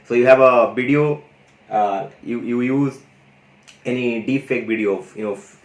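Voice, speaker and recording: A man's voice: medium pitch, normal speed. A man running a casual, enthusiastic tutorial on an AI audio tool, often saying "you know" and "guys". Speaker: tech YouTuber demonstrating an AI audio tool. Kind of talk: casual screen-share tutorial. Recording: roomy echo.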